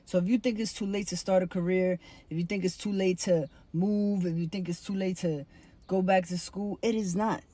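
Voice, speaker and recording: A woman's voice: on the low side, fairly even intonation, moderate pace, mellow, dry recording. A woman in her twenties giving an earnest, plain-spoken personal testimony. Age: 20s. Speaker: vlogger, licensed funeral director.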